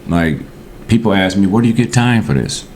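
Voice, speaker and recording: A man's voice: lowish voice, animated, a little fast, a little mellow, a little hiss or hum. An American man in his forties chatting casually as a podcast guest, blunt, slangy and profane. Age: 40s.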